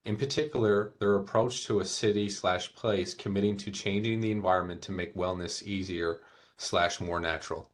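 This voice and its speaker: A man with a very deep voice runs the Q&A part of a panel discussion. He reads the audience questions aloud in a calm, measured way. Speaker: moderator reading audience questions. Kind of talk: hosting a webinar Q&A.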